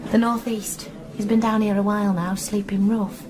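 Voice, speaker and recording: A woman's voice: medium pitch, flat delivery, normal speed, over music, natural voice. An actress in a radio drama playing a worried, talkative mother, anxious and plain-spoken. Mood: confiding. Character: worried, talkative working mother of a wayward lad. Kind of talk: naturalistic radio drama acting. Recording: clear background ambience noise.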